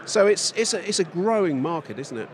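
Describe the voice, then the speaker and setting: A man's voice: high voice, lively intonation, fairly quick. A man interviewing a guest in a casual, friendly way, often ending his questions with "isn't it?", recorded outdoors at a trade event.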